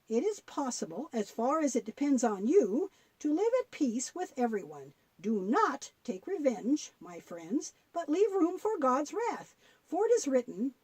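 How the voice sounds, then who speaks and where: A woman's voice: a little high, darkish tone, breathy edge, no echo, heavily acted. A woman playing a kindly, folksy grandmother who patiently tells Bible stories in a children's audio drama. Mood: gentle, earnest.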